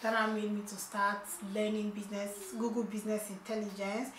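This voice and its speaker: A woman's voice: medium pitch, level tone, a little fast, background noise. A young woman chatting casually to her viewers in a vlog update, often asking "okay?" and calling out to "you guys". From not from China. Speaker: vlogger. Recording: echoey room.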